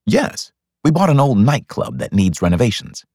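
Man playing a husky, flirtatious bachelor. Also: on the low side, huge pitch swings, normal speed, a little mellow, dry recording, clean studio sound, heavily acted. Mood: confident, persuasive. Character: confident, flirtatious bachelor club owner, smooth and teasing.

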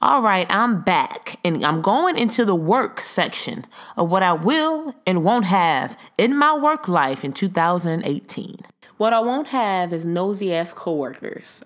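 A woman hosting her own podcast, talking casually and bluntly to her listeners, her voice congested and nasal from a cold. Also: lowish voice. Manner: candid, blunt, swears freely, addresses listeners as y'all. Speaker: podcast host. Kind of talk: casual solo podcast monologue.

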